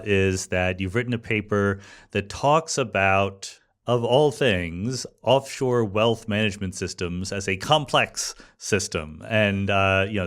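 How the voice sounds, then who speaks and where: A man's voice: lowish voice, fairly bright tone. A man speaking as a podcast host in an informal, explanatory, conversational manner. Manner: informal, explanatory, with rhetorical check-in questions. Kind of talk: conversational podcast introduction and interview.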